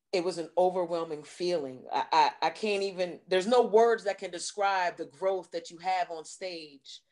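Middle aged woman on a video call interview, lowish voice, thoughtful, keeps rewording what she says. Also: darkish tone. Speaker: guest, stage actress. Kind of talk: casual interview chat. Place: room at home, indoors.

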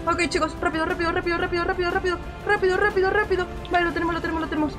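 Young girl streamer gaming live, really high voice, spanish accent w mexican twang, animated n repeats herself, music behind. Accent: Spanish with Mexican inflections. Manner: animated, repeats phrases rapidly, complaining slangily. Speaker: game streamer. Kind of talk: casual live gameplay commentary.

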